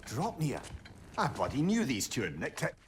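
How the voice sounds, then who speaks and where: A man's voice: medium pitch, wildly swooping pitch, moderate pace, slight background noise. A man voicing a wry, talkative, clever older companion in a video game, with a sardonic, wordy manner. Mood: puzzled, annoyed. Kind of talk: video game dialogue acting.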